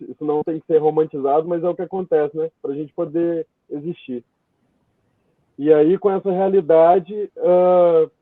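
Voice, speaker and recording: Halting Brazilian man on thin, band-limited audio. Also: sits high, dry recording, phone-quality sound. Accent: Brazilian Portuguese. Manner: halting, meandering, thinking aloud. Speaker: guest, theatre writer and director. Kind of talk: informal interview answer.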